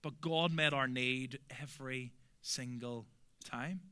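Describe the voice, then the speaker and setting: A young adult man from Belfast with a Belfast accent, preaching a sermon earnestly and emphatically, often dropping to soft, quiet endings. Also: mid-range voice, fairly even intonation, slow pace, noisy background. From Northern Ireland. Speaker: pastor.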